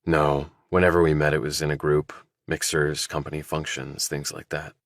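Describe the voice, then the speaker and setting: A man's voice: extremely low pitch, little pitch movement, moderate pace, no room sound, studio quality. A man voicing a guarded, polite young man in audiobook dialogue.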